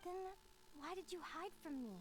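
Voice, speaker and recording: An actress playing a kind, youthful young woman in a video game, speaking in a gentle, playful manner. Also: sits high, lively intonation, normal speed. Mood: hurt. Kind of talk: scripted video game voice acting. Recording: loud music under the voice. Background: game music.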